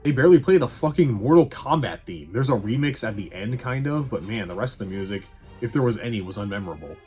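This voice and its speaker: A man's voice: lowish voice, animated, quick pace, a little mellow. A man doing a casual, opinionated review voice-over in a blunt, sweary way with wry asides. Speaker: video essay host reviewing movies. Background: background music.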